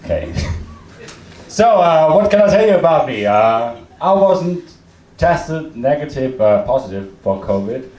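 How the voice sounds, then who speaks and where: A young Austrian man performs stand-up in his second language, his accent plain, each line dry and self-mocking. He talks slowly, pausing on fillers, while his pitch rises and falls widely. The sound is roomy and picked up from some distance on a club stage. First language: German. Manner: deadpan self-mocking, halting with many fillers. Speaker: stand-up comedian. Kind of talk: stand-up set.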